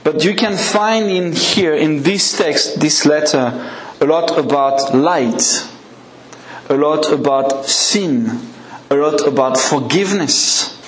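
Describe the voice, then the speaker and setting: A man's voice: a little high, slow pace, a bit bright, echoey room, slight background noise, rough recording. A man whose first language is French, speaking English with a French accent as a guest preacher, giving a conversational sermon with questions to the congregation. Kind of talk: evening sermon with a live congregation.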